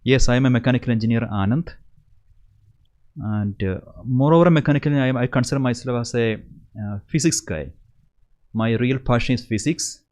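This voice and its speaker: A young man with a Malayali Indian English accent talks to his viewers in a relaxed livestream. He is earnest and speaks in an everyday middle pitch. He often repeats a word or starts a phrase over before going on. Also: normal speed. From Kerala, India. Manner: earnest, repeats words and restarts. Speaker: host of an engineering channel. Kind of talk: casual livestream Q&A.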